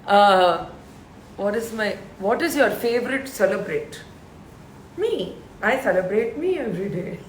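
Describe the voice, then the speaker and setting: A woman in her thirties with a deep voice, chatting casually with fans on a live stream and reading out their questions. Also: animated, measured pace, echoey room, a little hiss or hum, rough recording. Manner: chatty, laughs often, reads out questions.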